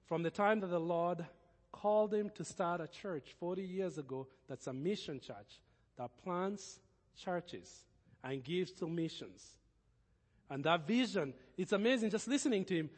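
A man, the host pastor, introducing the guest speaker, speaking haltingly with many restarts. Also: sits high. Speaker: pastor hosting the service. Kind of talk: church introduction. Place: church sanctuary. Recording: long reverb of a church hall.

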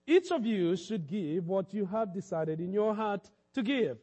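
High-voiced pastor preaching in a reverberant hall. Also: male voice, animated, a little mellow. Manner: halting, repeats words, rises to emphatic peaks. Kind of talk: sermon.

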